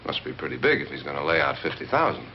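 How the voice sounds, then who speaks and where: An actor playing an easygoing, skeptical pilot in a 1950s horror film, talking in a casual, hesitant way. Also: male voice, medium pitch, sing-song, racing, creaky. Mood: puzzled, concerned. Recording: muffled vintage film soundtrack, faint noise.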